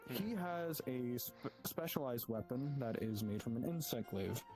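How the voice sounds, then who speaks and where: Male guild guide for improvised roleplay: average register, polite long-winded explanations, clear music underneath. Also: mid-range voice, lively intonation, measured pace, poor audio. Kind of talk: improvised in-character orientation in VR roleplay. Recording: music behind the voice.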